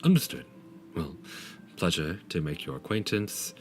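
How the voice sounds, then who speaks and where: A man's voice: low voice, sing-song, unhurried, slight background noise. A man voicing a courteous, dignified Dragonborn diplomat in a tabletop fantasy game, speaking formally and somewhat hesitantly. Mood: courteous, measured.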